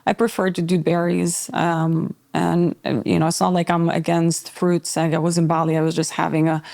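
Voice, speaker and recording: A woman from Albania, a podcast guest who talks about fitness in a relaxed, rambling way with frequent filler words. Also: lowish voice, normal speed, creaky. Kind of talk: casual conversational interview.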